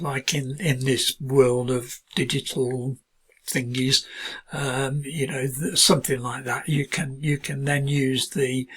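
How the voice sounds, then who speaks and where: A middle-aged British man walks through a piece of software on screen, talking in a relaxed, halting way full of ums and restarts. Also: medium pitch, breathy edge. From United Kingdom. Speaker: software tutorial presenter. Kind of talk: screen-recorded software walkthrough.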